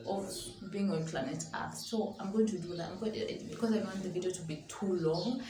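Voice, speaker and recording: Young lady vlogging, lowish voice, echoey room w noise behind her. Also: brisk. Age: early 20s, twenty-one. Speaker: YouTube vlogger. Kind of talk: casual sit-down chat to camera.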